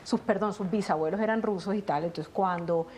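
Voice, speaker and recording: A middle-aged Colombian woman chatting as an interview guest, telling stories with lively asides and acted-out bits of dialogue. Her pitch sits in the middle of the range for a woman. A faint noise hangs behind her voice. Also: fairly quick. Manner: animated storytelling, acts out family dialogue. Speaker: guest, actress. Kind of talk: conversational interview.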